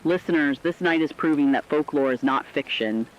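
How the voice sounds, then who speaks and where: A man's voice: fairly high pitch, moderate pace, muffled recording, lightly acted. A man playing a solemn radio host, warning listeners of supernatural doom in portentous, emphatic scripted narration. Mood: solemn, foreboding.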